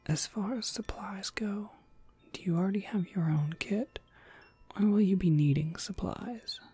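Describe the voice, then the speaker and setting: A woman playing a gentle, attentive witch shopkeeper in a whispered ASMR roleplay, breathy, polite and softly reassuring. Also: mid-range voice, measured pace, dry recording. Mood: helpful, explaining.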